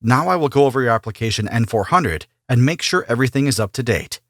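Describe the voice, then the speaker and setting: A man playing an immigration officer who asks formal, steady questions in a scripted practice interview. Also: mid-range voice, fast, no echo, studio quality. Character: male immigration officer, businesslike and neutral. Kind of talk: scripted role-play interview.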